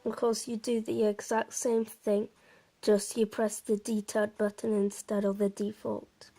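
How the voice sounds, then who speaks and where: Slow, even-toned female game commentator. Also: medium pitch. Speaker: presenter of a game walkthrough. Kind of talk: casual gameplay commentary.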